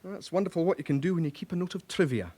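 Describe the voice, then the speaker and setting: An actor playing a methodical, self-satisfied British police records officer, explaining in measured phrases, in a radio drama. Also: male voice, sits high, lively intonation, dark tone. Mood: pleased, methodical.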